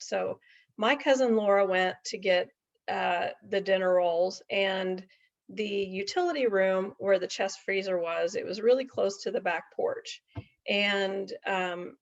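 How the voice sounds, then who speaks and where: A woman's voice: mid-range voice, creaky. A middle-aged woman telling a casual story in a folksy, chatty way, full of asides and check-in questions. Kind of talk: casual anecdotal storytelling to an audience. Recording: dull lo-fi recording.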